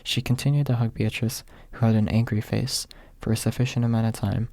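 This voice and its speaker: A man narrating an ASMR audiobook in a hushed, husky voice, close to the microphone. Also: lowish voice, flat delivery, fairly quick, dry recording. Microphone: close microphone, dry.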